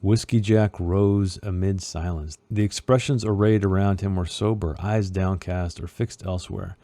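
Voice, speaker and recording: A man's voice: low-pitched, steady tone, moderate pace, warm tone, dry recording. A man hosting a book club, casually recapping a chapter with informal asides and passages read aloud.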